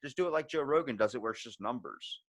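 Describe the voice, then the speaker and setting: A man's voice: mid-range voice, lively intonation, quick pace, no echo. A man hosting a podcast in casual chat, rambling along in slang with some swearing. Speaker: podcast host.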